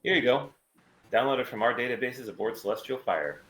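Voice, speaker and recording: A man's voice: mid-range voice, fairly quick, fairly bright tone, lightly acted. A man playing a confident, evasive mercenary pilot with a cool, clipped delivery in a sci-fi table read. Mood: firm, challenging. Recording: roomy echo with noticeable background noise.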